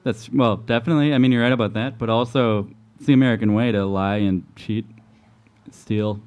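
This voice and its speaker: A man's voice: fairly low pitch, fairly even intonation, a little fast, smooth, lo-fi sound. A man co-hosting a radio show, joking and wisecracking in casual banter.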